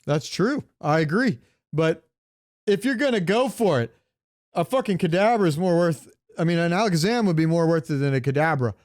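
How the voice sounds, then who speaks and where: A man's voice: fairly high pitch, animated, brisk, darkish tone, dry recording, studio quality. A man co-hosting a podcast in casual pop-culture chat, rambling and searching for words, with casual swearing. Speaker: podcast co-host.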